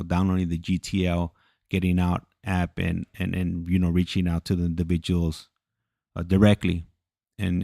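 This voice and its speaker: A man chatting casually as he hosts a livestream, halting, repeating himself and losing his thread. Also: deep voice, vocal fry, warm tone, no echo, clean studio sound. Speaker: livestream host. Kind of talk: casual rambling livestream chat.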